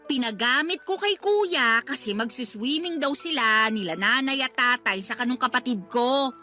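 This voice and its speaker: A woman's voice: a little high, lively intonation, normal speed, sharp tone, soft background music. A snappy, defensive girlfriend. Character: careless, defensive young girlfriend, easily irritated. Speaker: girlfriend in a radio drama. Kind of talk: radio drama acting.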